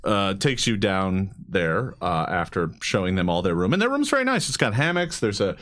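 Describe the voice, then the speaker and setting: A man narrating a tabletop game as game master, improvising haltingly and often repeating his words. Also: low-pitched, sing-song, a bit bright.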